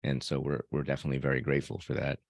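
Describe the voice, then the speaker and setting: A man speaking as an interview guest, reflecting on personal matters in a measured way and pausing mid-phrase. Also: rumbling low, completely flat delivery, vocal fry, no echo. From born in El Salvador, raised elsewhere by adoptive parents. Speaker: podcast guest.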